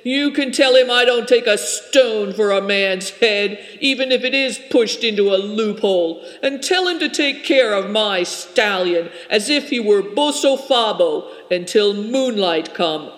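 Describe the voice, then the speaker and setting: A wild, fierce old rider, as a reader puts him on, barks his orders in loud, clipped bursts. The voice carries into a roomy space, where a long reverb trails after each shout. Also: medium pitch, animated, measured pace, few pauses, voice pushed up. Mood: barking orders. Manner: shouting, commanding.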